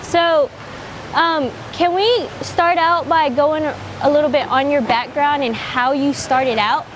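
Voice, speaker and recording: High-voiced woman hosting an interview. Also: slow, a little hiss or hum, poor audio. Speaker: interview host. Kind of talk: casual interview.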